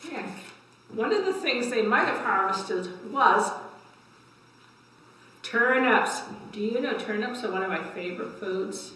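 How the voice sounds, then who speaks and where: An older woman reads children's books aloud in a bright, fairly high voice. She adds simple explanations and questions for young listeners. The room is very echoey and she sounds a little way from the mic. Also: animated, a little slow, pauses often. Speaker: library story time host. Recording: echoey room, distant microphone.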